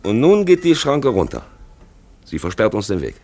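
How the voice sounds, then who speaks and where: A dramatic 1950s radio play narrator, low-ish male voice, really lively intonation, rhetorical, talks right at you. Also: normal speed, exaggerated voice. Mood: urgent, pointing out. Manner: dramatic, rhetorical, addresses the listener directly. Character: eloquent male narrator guiding the listener through a drab town. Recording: 1950s German radio production.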